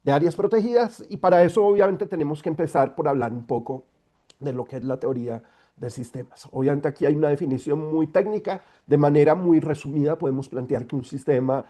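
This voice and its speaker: A middle-aged man speaks over a video call, congested from a cold. He lays out his points in a measured, explanatory manner, with a mellow, dark voice. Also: a little high. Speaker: guest speaker, environmental lawyer. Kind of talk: online talk.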